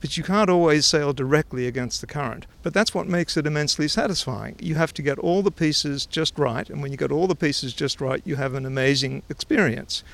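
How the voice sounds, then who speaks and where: A man's voice: a little high, fairly quick, creaky, few pauses, a bit bright. An Australian man in his forties, talking casually and eagerly about river sailing outdoors at a dock, often restarting his sentences. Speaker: interviewee, river sailor.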